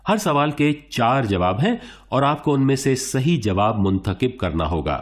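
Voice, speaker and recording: A man playing a suave quiz show host in a radio play, formal and theatrical, with dramatic pauses. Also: mid-range voice, very animated. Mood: showy, polite.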